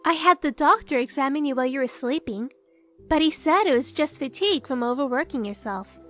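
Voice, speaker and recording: A woman voicing a sweet, kind young woman who flusters easily, with a gentle, cutesy delivery in a manga dub. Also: high-pitched, lively intonation, fairly quick, soft background music. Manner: gentle, cutesy, hesitant when embarrassed. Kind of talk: anime-style manga dub acting.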